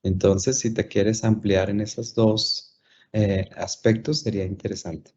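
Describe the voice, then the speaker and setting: A low-voiced man moderates an online talk, passing audience questions to the speaker and rephrasing them at length. His tone is dark and mellow, and the call audio is dull and lo-fi. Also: flat delivery, a little slow, breathy edge. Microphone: video call.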